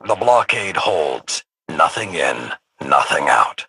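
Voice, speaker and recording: An actor playing a stern, gruff military commander in a video game, with a gravelly, very raspy voice. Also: male voice, rumbling low, big pitch swings, slow pace, background noise, exaggerated voice. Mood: grim. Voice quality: gravelly, very hoarse rasp. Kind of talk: video game drama acting.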